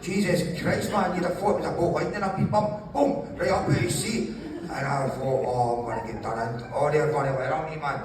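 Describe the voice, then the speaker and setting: A man's voice: on the high side, brisk, few pauses. A man, telling stories casually in a jokey, sweary way as an interview guest. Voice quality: gravelly. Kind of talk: animated casual storytelling. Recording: very echoey room, distant mic, faint noise.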